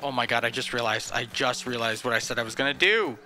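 A man's voice: mid-range voice, fast talker, sharp tone, soft background music. A man reacting live to a video game, jumpy and exclaiming, often panicky and rambling. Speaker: gameplay streamer.

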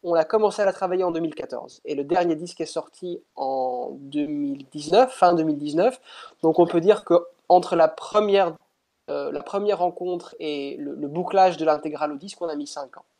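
A young man, not a native speaker, answers questions from his room over a headset on a video call. His voice sits a little high, and he talks thoughtfully, often restarting his phrases. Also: a little fast, dark tone. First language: not French. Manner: thoughtful, hesitant, frequent restarts. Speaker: pianist interviewed. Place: indoor room at home.

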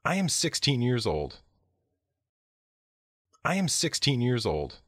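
A man reading short example sentences carefully and clearly for a listening lesson, in a dry studio voice-over. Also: mid-range voice, wildly swooping pitch, takes it slowly, a bit bright, no room sound. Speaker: listening practice speaker. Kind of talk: slow read example sentences.

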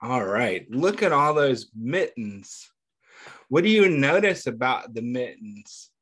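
A man reading a picture book aloud to young children, pausing often to ask them questions and praise their answers, and calling himself by name in the third person. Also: medium pitch, big pitch swings, slightly rough. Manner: gentle, patient, asks questions and pauses for answers. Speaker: children's story reader.

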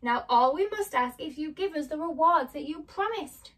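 A woman's voice: sits high, expressive, moderate pace, fairly bright tone, far from the mic, voice pushed up. A woman voicing an earnest, indignant young storybook girl with an emphatic, pleading manner.

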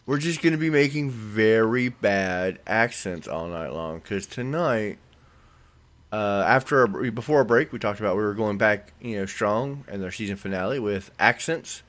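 A man's voice: fairly low pitch, animated, moderate pace, fairly bright tone. A man hosting a podcast from the American South, rambling and joking in casual chat. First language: not English. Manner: joking, rambling, drops into mock accents. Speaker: podcast host.

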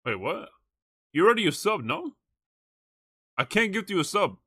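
Bright-voiced young male streamer, slightly high. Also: very animated, moderate pace, husky, dry recording, studio quality. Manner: slangy, swearing. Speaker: live streamer. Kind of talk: casual livestream chat and clip reaction.

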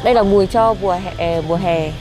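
A woman's voice: mid-range voice, quick pace, crowd noise. A young woman doing casual street interviews outdoors by a lake in a city park, chatty and coaxing, often giggling. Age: young adult.

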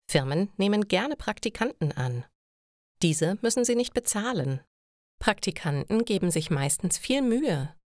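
A woman reads a scripted story for language learners, enunciating clearly in a measured way. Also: on the low side, no echo, clean studio sound. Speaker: narrator of German listening lessons.

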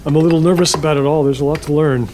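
An actor in an audio drama playing an awkward, apologetic male guesthouse host, with a hesitant, stammering and halting delivery. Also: on the high side, fairly even intonation, mellow, slight background noise, natural voice. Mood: nervous, apologetic. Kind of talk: naturalistic audio drama acting.

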